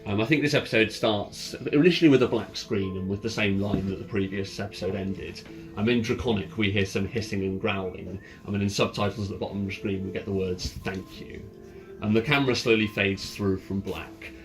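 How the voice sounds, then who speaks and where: A man narrating a tabletop roleplaying game as game master, setting scenes in a halting, descriptive way. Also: on the low side, rough recording. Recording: ambient music behind the voice. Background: ambient background music.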